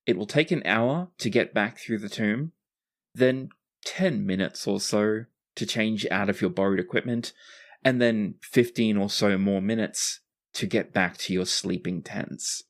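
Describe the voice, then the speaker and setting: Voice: mid-range voice, unhurried. Conversational game master narrating. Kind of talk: narrating a tabletop roleplaying game.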